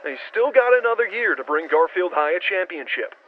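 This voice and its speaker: An actor playing an excitable play-by-play basketball broadcaster in an audio drama, calling a game like sports radio. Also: male voice, high voice, fairly quick. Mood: brisk, assured. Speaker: sports commentator. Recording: dull radio-style broadcast with noise.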